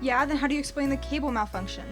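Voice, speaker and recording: An actress playing a blunt, exasperated detective daughter with sarcastic, no-nonsense questioning in a comic audio drama. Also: female voice, high-pitched, racing, a bit bright, soft background music. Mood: probing.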